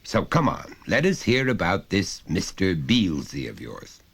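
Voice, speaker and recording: Stern, self-important father for an audio short story, man performing, insistent and lecturing, extremely animated intonation. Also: low voice, measured pace, slightly rough, voice pushed up, heavily acted. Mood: goading, condescending. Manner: emphatic, lecturing, pompous. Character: overbearing, pompous middle-class father, stern and insistent.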